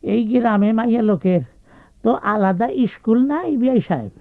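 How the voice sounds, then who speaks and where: An actor playing an ailing, hospitable older village landlord in a radio drama, with a gravelly voice, hesitant repetitions and a rural Bangladeshi accent. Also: male voice, high voice, lively intonation, normal speed, dry recording. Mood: rueful, confiding. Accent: rural Bangladeshi Bengali. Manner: hesitant, repeating words, folksy. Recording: tinny narrow-band radio recording with music.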